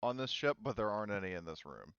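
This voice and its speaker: Low, smooth-voiced man running a game. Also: very animated, a bit bright, no room sound, lo-fi sound. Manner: halting, informal, sweary. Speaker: game master of a tabletop roleplaying game. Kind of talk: casual improvised game narration and table chat.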